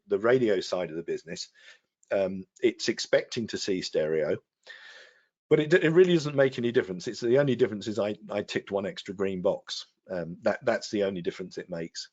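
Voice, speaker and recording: A man with a fairly low voice explains a technical product as a guest expert. He talks through software steps in a halting, explanatory way. The audio is somewhat dull and lo-fi, like a web stream. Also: lively intonation.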